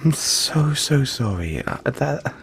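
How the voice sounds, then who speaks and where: A man voicing a big, friendly, apologetic yeti in an audio roleplay, speaking gently to the listener in a halting, chatty, playful way. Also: mid-range voice, big pitch swings, creaky, a little hiss or hum, exaggerated voice. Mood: apologetic, soothing.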